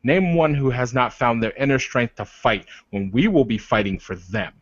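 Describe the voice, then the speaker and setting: A man's voice: medium pitch, expressive, moderate pace, no echo, close to an everyday voice. A man playing a proud, protective werewolf leader in improvised roleplay, arguing insistently with repeated phrases and pointed questions. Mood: proud, challenging. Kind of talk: improvised in-character roleplay dialogue. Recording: dull, lo-fi voice recording.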